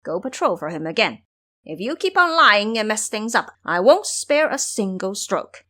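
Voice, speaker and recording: A woman's voice: medium pitch, big pitch swings, normal speed, pushed and bright. A woman voicing a bossy, mocking male monkey disciple who scolds and threatens, in an audio story. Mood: scolding.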